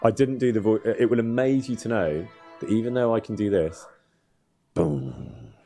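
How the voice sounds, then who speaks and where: A man's voice: fairly low pitch, normal speed, dark tone, faint music behind. A man hosting a gaming livestream, chatting casually and a little hesitantly while he plays. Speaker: streamer and host of a gaming livestream. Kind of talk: casual livestream chat while playing a game.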